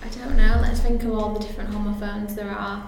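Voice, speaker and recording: A woman's voice: mid-range voice, little pitch movement, a little mellow, a little hiss or hum. A woman, a guest speaking about wording, talking in a chatty way full of fillers and restarts. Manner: chatty, lots of fillers and restarts, quick to laugh. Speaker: guest copywriter. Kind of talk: casual podcast interview. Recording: very echoey room.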